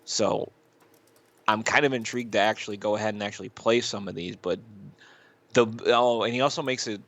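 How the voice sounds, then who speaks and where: A man with a fairly low voice, recorded close and dry, chats as a podcast co-host. He talks in a rambling, halting way, circling back over his phrases and swearing casually as he gives his take. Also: fairly even intonation, a bit bright, no room sound. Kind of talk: casual chat, reviewing YouTube videos.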